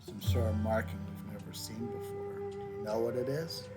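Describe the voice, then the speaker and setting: A man giving casual, offhand and somewhat halting commentary as he plays a game. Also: medium pitch, wildly swooping pitch. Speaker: gaming streamer. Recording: loud game music behind the voice.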